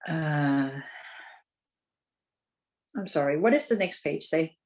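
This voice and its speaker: Female presenter, Taiwanese accent, slightly low voice. Conversational advocacy talk, halting and informal, with frequent rephrasing. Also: expressive, darkish tone.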